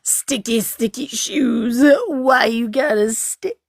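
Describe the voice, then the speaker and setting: A woman's voice: on the high side, slow pace, gravelly, dry recording, studio quality. Giggly woman chatting on a livestream. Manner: giggly, rambling, reads chat aloud. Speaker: livestream host. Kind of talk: casual livestream chat.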